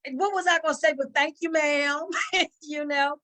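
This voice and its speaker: A mature woman with a fairly high voice chats as a guest in an interview. She tells stories informally, starting haltingly and dropping in bits of quoted speech. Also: steady tone, sharp tone. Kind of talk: casual chat, storytelling.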